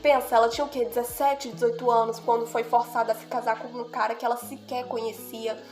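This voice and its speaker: A woman's voice: a little high, flat delivery, brisk, a little mellow, faint music behind. A young woman with a cold and a nasal voice, giving an earnest, heartfelt anime analysis in casual talk, often asking "right?" and "you know?". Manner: earnest, heartfelt, repeats "né?" and "sabe?". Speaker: video creator analyzing anime.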